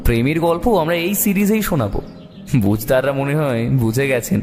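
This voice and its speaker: A man's voice: medium pitch, very animated, brisk, a little mellow, lightly acted. Guy playing wry writer narrator in detective audio story, reflective, reverby, faint music under him. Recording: long reverb, music behind.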